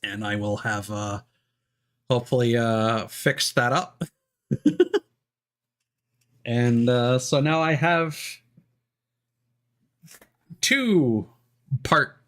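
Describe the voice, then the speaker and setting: A man live streaming a video game, chatting casually and thinking aloud in a halting way as he troubleshoots. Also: mid-range voice, fairly bright tone.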